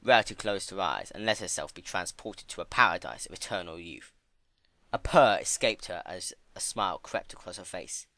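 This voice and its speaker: A man's voice: sits low, animated, moderate pace, vocal fry, sharp tone, no echo. A man narrating a scripted story as a dramatic reading.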